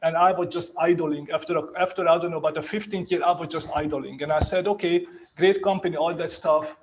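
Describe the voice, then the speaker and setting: A man in his fifties from Hungary with a Hungarian accent, telling his career story on a panel in a lively way. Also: on the high side, fairly quick, background noise. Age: 50s. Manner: animated storyteller, acts out quoted talk, laughs often. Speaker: real estate agent on a panel. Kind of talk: panel talk, personal story.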